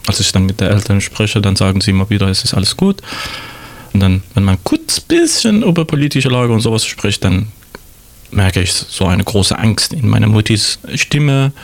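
A man from Iran with a Persian accent, a native Persian speaker. His voice is on the low side and creaky, and he talks hesitantly and reflectively as an interview guest. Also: big pitch swings, moderate pace. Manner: hesitant, non-native phrasing. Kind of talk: reflective radio discussion. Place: radio studio.

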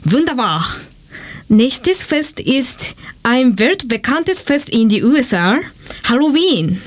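Slow, high-voiced woman. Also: very animated. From Japan. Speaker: co-presenter. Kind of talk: scripted segment reading, learner German.

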